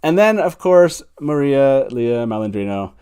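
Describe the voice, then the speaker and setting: A man's voice: medium pitch, sing-song, measured pace, warm tone, smooth. Enthusiastic male talk show host. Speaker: host of a live call-in fan talk show. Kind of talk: casual show hosting, introducing guests.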